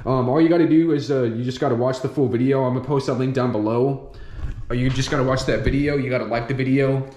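Young male vlogger, quick rambling delivery, camera on a desk in an echoey room at home. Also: mid-range voice, fairly even intonation, darkish tone. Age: young adult. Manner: rambling, repeats "you guys" and "you know" constantly. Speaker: fishing channel host. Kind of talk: casual lure review vlog. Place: home room with large window, indoors. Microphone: camera on desk facing him.

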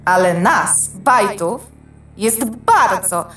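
A woman's voice: mid-range voice, animated, very slow, fairly bright tone, soft background music. A woman voicing a tiny, proud, chatty male byte creature in a children's audio drama, playful and emphatic. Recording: roomy echo, distant.

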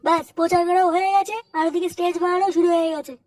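A performer voicing a bossy young male club organiser in a cartoon comedy, giving brisk orders. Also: very high-pitched, level tone, recorded from a distance, background noise. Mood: planning, teasing.

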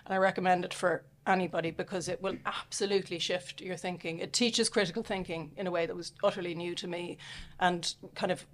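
A woman's voice: lowish voice, fairly even intonation, fairly quick, a little hiss or hum. A woman in her forties with a Dublin Irish accent, chatting thoughtfully as a podcast guest with fillers and restarts, on a close studio mic. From Dublin, Ireland. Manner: thoughtful, self-deprecating, frequent fillers and restarts. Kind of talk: casual interview chat. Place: small podcast studio, indoors. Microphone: studio mic on a stand close up.

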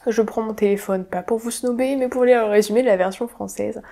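A young woman chats casually about books she has read, her voice mellow and relaxed. She talks to the camera at home, hedging and restarting with plenty of fillers. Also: a little high, fairly quick, creaky. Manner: chatty, self-deprecating, many fillers and restarts. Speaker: book vlogger. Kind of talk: casual book review talk. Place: home room, indoors.

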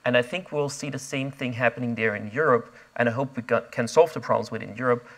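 Man, quick and restless. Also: mid-range voice. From Austria. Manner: fast, restless, many fillers and restarts. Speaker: invited speaker. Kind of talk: onstage conference conversation. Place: conference stage before an audience.

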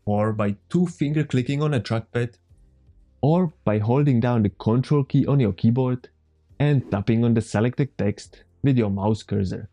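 A man in his thirties with a Czech accent, calmly walking viewers through a computer tutorial step by step as the channel's host. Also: medium pitch, moderate pace, faint music behind. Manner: calm, methodical step-by-step explaining. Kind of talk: screen-recorded software tutorial. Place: home room, indoors.

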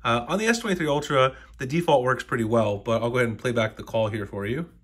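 A man's voice: mid-range voice, fast, slightly rough. An American man hosting a casual step-by-step tech tutorial in a conversational, explanatory way. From United States. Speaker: tech reviewer, tutorial host.

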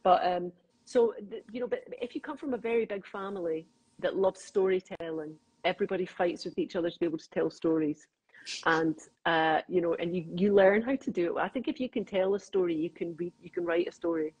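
Middle-aged Glaswegian woman, husky low voice, quick anecdotal interview guest. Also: darkish tone, muffled recording. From Scotland. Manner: chatty storyteller, quick, laughs often. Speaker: crime novelist guest. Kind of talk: casual conversational interview.

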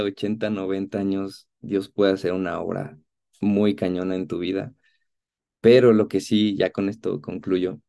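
A man of about thirty with a Mexican accent, giving an earnest, conversational personal testimony in a halting way over a video call. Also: sits low, vocal fry, dark tone. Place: indoor room at home, on a video call.

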